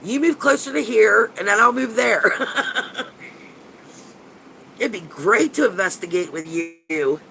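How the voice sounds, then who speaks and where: A man in his fifties talks with a bright, pressed-forward edge, a little high for a man. He sounds stuffy and nasal, and he rambles and gushes, often restarting his sentences. A faint hiss sits under his voice. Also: lively intonation, slow pace, gravelly, long pauses, low-quality recording. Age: 50s. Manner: rambling and gushing, with frequent restarts and casual swearing. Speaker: paranormal investigator hosting a live chat. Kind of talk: casual livestream chat answering viewers.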